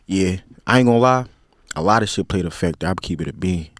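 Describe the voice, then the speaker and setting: A man's voice: sits low, expressive, quick pace, dry recording, clean studio sound. Laid-back young man with a philly accent. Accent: Philadelphia. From Philadelphia, USA. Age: 20s. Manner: laid-back, slang-heavy, rambling with many fillers. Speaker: podcast guest. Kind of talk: casual interview chat.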